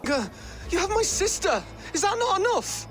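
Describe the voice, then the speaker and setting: A man's voice: very high-pitched, big pitch swings, moderate pace, a bit bright, heavily acted. A young man playing an anxious, earnest nineteen-year-old peasant in a period game, with naive, quaint phrasing. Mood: panicked, angry. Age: young adult. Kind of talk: dramatic period game acting.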